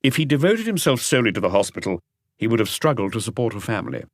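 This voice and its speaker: A man's voice: medium pitch, big pitch swings, quick pace, dry recording, studio quality. A man voicing an earnest, talkative doctor who explains things with assurance in an audiobook mystery. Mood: frank, explanatory. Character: earnest male doctor, talkative and conscientious family friend.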